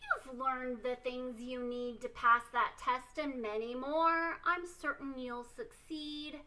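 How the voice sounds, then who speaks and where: Lady acting a peppy storybook teacher woman, encouraging, high pitched and bright. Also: steady tone, slow pace, distant mic, exaggerated voice, poor audio. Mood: reassuring. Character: spirited, encouraging woman schoolteacher.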